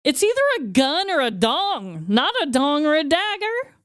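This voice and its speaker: A woman's voice: high voice, sing-song, moderate pace, dry recording, clean studio sound. A young adult woman co-hosting a podcast, blunt and emphatic, laughing often and swearing freely. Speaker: podcast co-host. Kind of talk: casual comedic chat.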